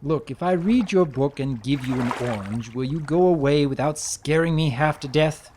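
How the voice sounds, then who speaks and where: A man voicing an impatient, curt but soft-hearted unicorn baron in a dramatic story reading. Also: fairly high pitch, normal speed, a little hiss or hum, lightly acted. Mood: baffled. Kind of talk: dramatic reading of fan fiction.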